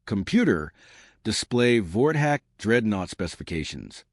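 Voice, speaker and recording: A man's voice: fairly low pitch, big pitch swings, unhurried, no echo, clean studio sound, exaggerated voice. A man voicing a small, fierce woman starship captain in a sci-fi story, confident and sarcastic. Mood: commanding.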